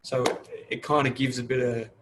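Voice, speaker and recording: A man's voice: mid-range voice, flat delivery, moderate pace, noisy background. A middle-aged man, a fire service manager talking openly in an interview, restarting his sentences often. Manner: open, rambling, many restarts. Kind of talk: casual interview talk.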